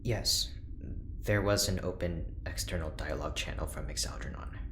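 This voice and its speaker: A woman voicing a very deep-voiced, guarded scientist. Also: moderate pace, fairly bright tone, echoey room, slight background noise. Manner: terse, defensive. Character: guarded, cornered female scientist. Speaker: doctor under questioning.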